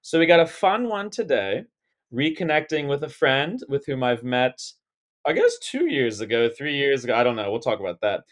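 A man's voice: medium pitch, sing-song, normal speed. Laid-back young man hosting a podcast. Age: young adult. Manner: laid-back, slangy, loose and rambling. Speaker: podcast host. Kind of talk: casual podcast interview.

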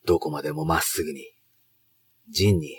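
A man's voice: very deep voice, steady tone, normal speed, husky, exaggerated voice. An actor playing a proud middle-aged noble commander and father in a Japanese period audio drama, speaking in archaic, imperious samurai language. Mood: weary, resigned.

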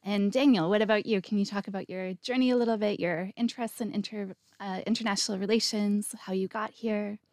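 A woman's voice: mid-range voice, steady tone, brisk, few pauses, darkish tone, dry recording. A woman hosts a podcast, posing questions in a mid-range voice and acknowledging each answer with a short okay. Her voice is close-miked and dry. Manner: friendly questioning, with many okays. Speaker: podcast host. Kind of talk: interviewing guests.

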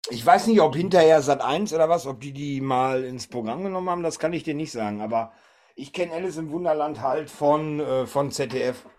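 Podcast host, male, slightly high voice, loose conversational delivery. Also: brisk, a little mellow. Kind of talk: casual chat.

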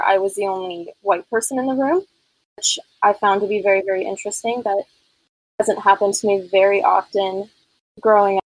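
A woman's voice: medium pitch, no echo, rough recording. Woman, twenties, from Northern California, thoughtful, chatty guest, dry sound. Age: 20s. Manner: thoughtful, frequent fillers. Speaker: podcast guest. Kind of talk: casual conversation.

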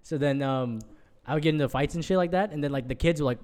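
A man's voice: on the high side, quick pace, a little mellow. A young man whose first language is Vietnamese, chatting loosely as a podcast co-host, with lots of filler words and casual swearing. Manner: rambling, heavy on filler words, swears freely. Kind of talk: casual chat.

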